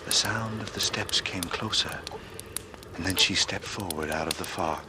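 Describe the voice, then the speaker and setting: A man's voice: deep voice, normal speed, natural voice. An actor playing a brooding, bitter man with murder hidden behind a casual front, in a 1940s radio drama. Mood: tense, excited. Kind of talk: 1940s radio drama acting, first-person narration and dialogue. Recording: noisy old radio broadcast recording.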